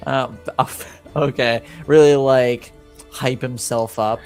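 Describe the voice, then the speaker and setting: Slow, halting male game master. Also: mid-range voice, fairly even intonation, a little mellow, faint music behind. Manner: halting, searching for words. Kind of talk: improvised tabletop game narration.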